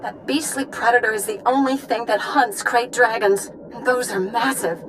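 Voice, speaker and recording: Woman acting an anxious, high-voiced young woman. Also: normal speed, noisy background, natural voice. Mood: anxious, urgent. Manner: urgent, pleading. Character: anxious, pleading young woman, earnest and urgent. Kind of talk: dramatic video game acting.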